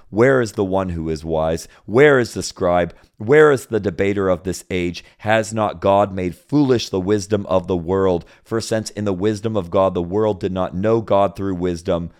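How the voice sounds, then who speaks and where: A man from Senegal preaching a sermon and reading scripture, repeating phrases and building to loud, emphatic peaks. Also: low-pitched, clear, resonant tone.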